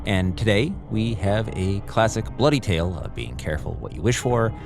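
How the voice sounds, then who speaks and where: A man's voice: fairly low pitch, very animated, normal speed, creaky. A man hosting a podcast with a scripted, atmospheric introduction. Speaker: podcast host. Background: music.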